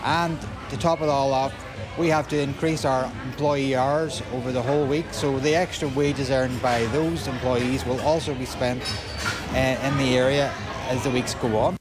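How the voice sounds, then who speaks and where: A man's voice: mid-range voice, fairly even intonation, normal speed. A man, a business operations manager, talking upbeat through details in a news interview.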